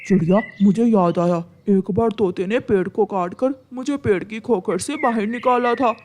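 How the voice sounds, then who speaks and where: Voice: mid-range voice, normal speed, darkish tone, a little hiss or hum, close to an everyday voice. A performer voicing an earnest, helpful, worried male pigeon in a children's cartoon.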